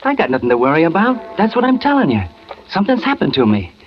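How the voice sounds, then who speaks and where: An actor playing an agitated, defensive gambling husband with slangy, colloquial speech in a 1940s radio thriller. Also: male voice, high-pitched, huge pitch swings, fast talker. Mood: excited. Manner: slangy, colloquial, pleading and snapping. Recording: muffled, noisy old radio recording.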